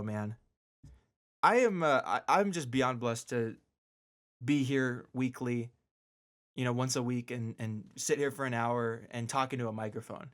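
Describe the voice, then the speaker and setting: A man hosting a casual solo radio show, talking informally with slangy asides and repeating words for emphasis. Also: mid-range voice. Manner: emphatic repetition for stress.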